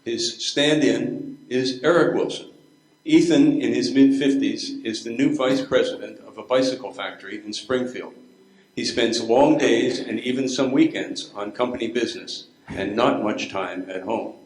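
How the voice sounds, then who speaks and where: A man's voice: medium pitch, darkish tone. An older man reading scripted narration as the narrator of a readers theater play. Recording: echoey room.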